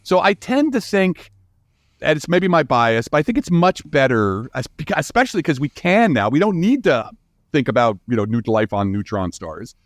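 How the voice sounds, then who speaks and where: Animated man explaining, widely swinging pitch. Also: dry recording, clean studio sound. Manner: informal, emphatic, halting restarts with frequent "you know" and "right?". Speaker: interview guest, scientist and book author. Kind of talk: conversational explaining in a podcast interview.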